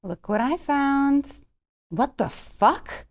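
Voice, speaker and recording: A woman's voice: sits high, animated, extremely slow, heavily acted. A woman playing a sassy, bold, foul-mouthed young woman in a drama table read. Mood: amused, startled.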